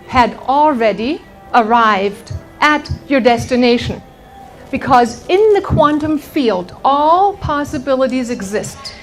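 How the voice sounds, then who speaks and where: Higher-voiced woman leading a motivational workshop. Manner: animated storytelling, addressing the audience directly. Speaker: seminar teacher, motivational speaker. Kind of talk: motivational workshop talk with anecdotes and guided exercise.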